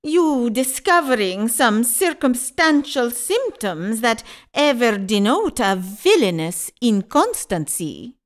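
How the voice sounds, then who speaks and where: A shrewd, husband-hunting governess from a period farce , voiced by a woman. Her voice is high and very lively in melody. She delivers mannered, archaic lines slowly and theatrically. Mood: scornful. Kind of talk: classical stage farce acting in an audio play.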